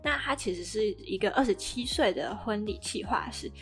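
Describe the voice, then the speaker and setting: A woman's voice: mid-range voice, animated, moderate pace, faint music behind. A woman with a Taiwanese Mandarin accent co-hosting a podcast, casually recapping a drama in a chatty, explanatory way. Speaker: podcast co-host.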